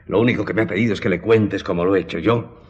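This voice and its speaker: A man's voice: on the low side, fast, highs cut off, lightly acted. A man acting in a radio drama as a robust, eager innkeeper who is greedy for money. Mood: excited, welcoming.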